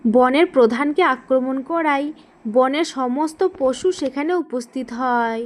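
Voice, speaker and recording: Female narrator for children's cartoon tales, very high register, scripted storytelling. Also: a little slow.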